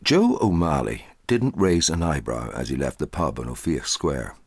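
A middle-aged man reading a short story aloud in a measured, dramatic way, with a husky voice. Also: a bass voice, very animated, dry recording, studio quality. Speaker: audiobook narrator.

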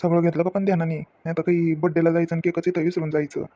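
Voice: mid-range voice, flat delivery, racing, mellow, lo-fi sound, lightly acted. An actor playing a blunt, easily irritated village family man in a comedy drama, with a rural Maharashtrian Marathi accent. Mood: fussy. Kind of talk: acted family comedy dialogue.

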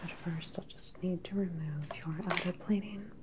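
A young woman playing a calm, clinical evil scientist in an ASMR sci-fi roleplay, speaking softly and soothingly, close to the mic. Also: fairly low pitch, very noisy, lightly acted. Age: young adult. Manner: soft, soothing, unhurried personal attention. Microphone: close microphone, leaning in near camera.

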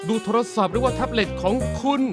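A woman's voice: a little high, fast, over music, natural voice. A woman voicing an anxious little boy goat in a children's cartoon. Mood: pleading. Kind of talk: children's cartoon dub and promotion.

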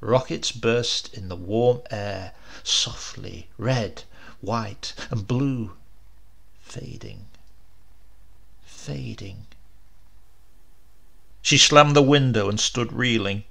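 A man narrating a scripted story as an audiobook reader, with deliberate, theatrical phrasing. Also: on the low side, creaky, fairly bright tone.

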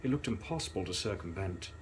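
Low-voiced man reading gothic fiction. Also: animated, slight background noise. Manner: measured, theatrical. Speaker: author reading own novel. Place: dark indoor room, on camera.

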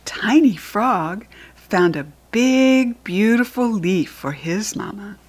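A woman with an American accent reading a children's picture book aloud in a deliberate, teacherly way. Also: medium pitch, animated, a little mellow. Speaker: storybook reader.

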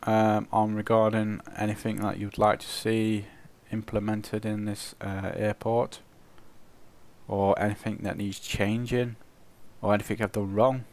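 A man with a croaky, cold-roughened voice, casually commentating his own gameplay and chuckling at his mistakes. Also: lowish voice, little pitch movement, unhurried, darkish tone. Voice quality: croaky, gravelly. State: hoarse from a cold. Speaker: let's play host.

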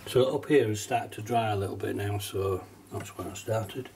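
A man's voice: on the low side, normal speed, gravelly, far from the mic, slight background noise. Nasal man with a cold teaching watercolour. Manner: relaxed, chatty, with small laughs and fillers. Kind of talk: casual step-by-step painting demonstration. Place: painting desk at home, indoors.

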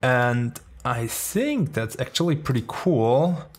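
A man in his thirties with a German accent, speaking at a middling pitch. He chats loosely through a home-studio tutorial and keeps padding his sentences with fillers. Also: big pitch swings, slow. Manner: chatty, rambling, lots of "like" fillers. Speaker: music production tutor. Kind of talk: casual screen-recorded tutorial. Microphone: studio microphone near the face.

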